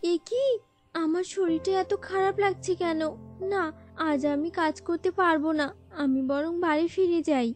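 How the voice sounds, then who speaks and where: A woman's voice: very high-pitched, steady tone, a little slow, faint music behind. A woman voicing a poor, tender, worried mother bird in a children's cartoon. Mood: weary.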